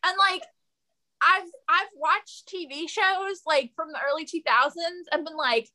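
A woman's voice: extremely high pitch, a little slow, pushed and bright. A woman in a casual podcast chat, eager to jump in and prone to wander off on tangents.

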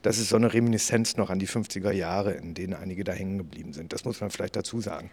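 A man's voice: sits low, darkish tone, breathy edge. Man chatting casually in a reverberant room. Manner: colloquial, halting, wry. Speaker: podcast host, politician. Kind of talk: casual political chat.

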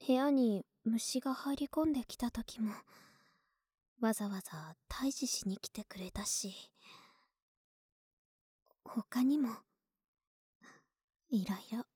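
A woman acting as an affectionate young big-sister neighbor in an ASMR audio drama. Her voice is high yet soft and mellow, often dropping into a breathy whisper. She talks slowly and intimately, with a gently teasing, lonely sweetness. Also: no echo, clean studio sound, heavily acted. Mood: tender, confiding. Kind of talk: Japanese ASMR situation voice drama.

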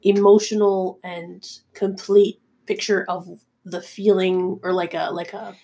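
A woman's voice: mid-range voice, level tone, a little slow, warm tone, poor audio. Female podcast co-host, recovering from a cold; tentative, searches aloud for words. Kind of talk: casual podcast discussion.

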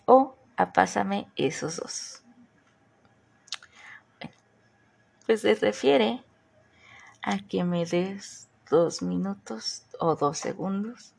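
A woman's voice: fairly low pitch, creaky, darkish tone. A woman from Mexico with a mixed Mexico City, Morelos and northern Mexican accent, hosting a chatty podcast and putting questions to her listeners. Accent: Mexican Spanish, mixed Mexico City, Morelos and northern. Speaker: podcast host. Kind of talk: casual podcast monologue.

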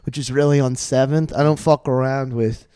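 A man's voice: medium pitch, fairly even intonation, normal speed, mellow, clear, resonant tone, dry recording, studio quality. A man in his twenties joking and telling stories in crude, casual podcast chat. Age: 20s.

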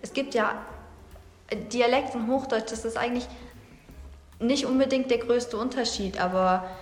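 A woman's voice: a little high, fairly even intonation, moderate pace. A young woman from Saxony with a slight Saxon accent, chatting casually in a podcast discussion and thinking aloud. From Saxony, Germany. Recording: echoey room with long reverb.

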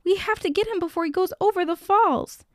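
A big, kindly storybook papa elephant who loves a joke, read by a woman in her own fairly high, creaky voice. He is patient and loving, with a gentle joking manner. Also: expressive, brisk, no echo, studio quality. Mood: urgent. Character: big, patient, jokey, loving male elephant foster father.

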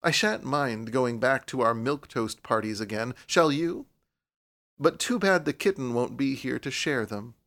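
A man voicing a proud, reserved young woman writer in an audiobook, soft-spoken and cool. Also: medium pitch, a little slow. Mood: sober, wistful. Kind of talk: audiobook character voice.

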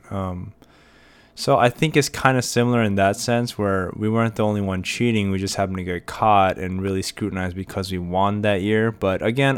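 A man's voice: lowish voice, smooth. A young American man hosting a casual solo podcast, rambling with many fillers and restarts. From United States. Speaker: podcast host.

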